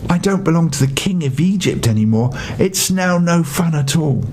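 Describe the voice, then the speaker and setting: A man's voice: a little high, animated, moderate pace, background noise, natural voice. A man voicing a grumpy, self-pitying and proud talking magic stone in a storybook, with an indignant, complaining manner. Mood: weary, resigned.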